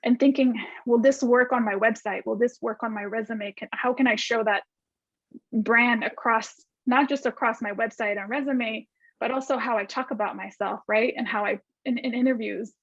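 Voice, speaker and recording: A woman's voice: a little high, fairly even intonation, moderate pace, dry recording. A woman from Argentina, a guest designer, answering student questions in a chatty way with many restarts and fillers. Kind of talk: casual video call Q&A. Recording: dull lo-fi call audio.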